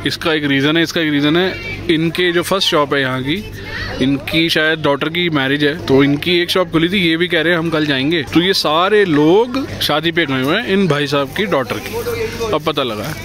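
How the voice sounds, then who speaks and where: A man's voice: fairly high pitch, normal speed, a crowd in the background. A man in his thirties, a vlogger, talking casually and upbeat, mixing English words into his speech. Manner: chatty, upbeat, mixes English words into Hindi. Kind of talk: casual vlog with sponsored segment. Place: small room indoors, then outdoors on a busy hill-town street.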